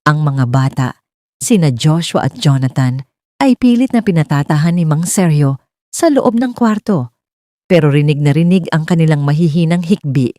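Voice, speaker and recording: Mellow, low-voiced woman narrator. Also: lively intonation, moderate pace, no echo, studio quality. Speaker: story narrator. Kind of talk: scripted dramatic romance narration.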